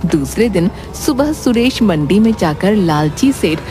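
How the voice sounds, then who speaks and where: Woman narrator, children's story, lively intonation, middle pitch, soft music behind. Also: measured pace. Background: background music.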